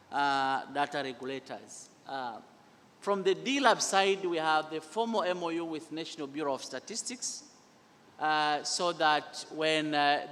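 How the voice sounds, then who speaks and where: A man's voice: fairly high pitch, fairly bright tone. A man answering as a panelist, explaining step by step and repeating himself in a large echoey hall. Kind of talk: panel answer to audience question. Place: large conference hall, through PA. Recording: echoey conference hall with long reverb.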